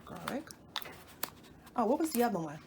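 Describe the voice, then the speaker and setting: A woman's voice: fairly high pitch, sing-song, normal speed, gravelly, noisy background. Chatty woman with a stuffy nose. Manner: chatty, talks to viewers while working, self-correcting. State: stuffy from a cold. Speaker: cooking channel host. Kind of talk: casual cooking demonstration. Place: home kitchen.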